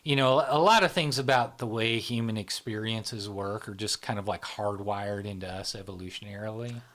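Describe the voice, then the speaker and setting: A man's voice: fairly low pitch, normal speed, a bit bright. A man hosting a casual conversation, explaining ideas in loose spoken runs and often checking in with "right?". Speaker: host, channel founder.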